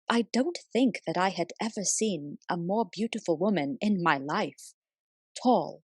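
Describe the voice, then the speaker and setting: An actress playing a police assistant who narrates a detective radio play in formal, measured, literary storytelling. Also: female voice, fairly low pitch, slightly rough, dry recording. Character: observant female police assistant recounting the case.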